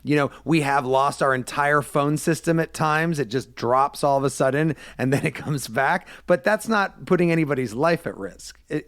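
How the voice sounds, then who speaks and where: A man's voice: mid-range voice, no echo, clean studio sound. An American man working as a radio host, asking questions in a conversational, plain-spoken way. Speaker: radio show host and interviewer. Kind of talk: conversational interview.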